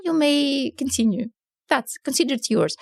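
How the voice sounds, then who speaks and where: A middle-aged woman from southern Russia with a Russian accent, a newspaper publisher telling her story in a relaxed interview, with many fillers and non-native grammar. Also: high voice, measured pace, gravelly, no echo, clean studio sound. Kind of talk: casual conversational storytelling.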